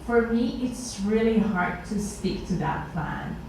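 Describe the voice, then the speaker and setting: A woman's voice: mid-range voice, animated, slow, darkish tone. A woman in an audience casually asking a question. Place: indoor meetup event space. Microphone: far camera. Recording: very echoey room, distant voice, noisy background.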